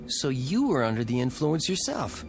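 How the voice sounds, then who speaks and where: An actor playing an irritable, sardonic husband with an American accent in a mid-century television drama. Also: male voice, mid-range voice, sing-song, normal speed, background noise. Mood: exasperated, sarcastic. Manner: sardonic, gruff, dismissive. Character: cynical, irritable husband stranded in a strange town.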